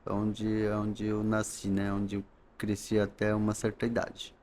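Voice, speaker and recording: A man from the coast of São Paulo, Brazil, speaking as a podcast guest in a calm, halting way, often ending phrases with tag questions. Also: on the low side, a little hiss or hum. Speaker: guest, knowledge keeper. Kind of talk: podcast interview.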